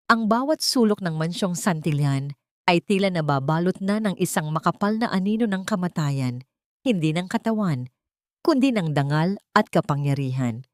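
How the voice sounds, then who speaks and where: A woman narrating a dramatic love story in a measured, storytelling manner. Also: on the low side, darkish tone, no room sound, studio quality.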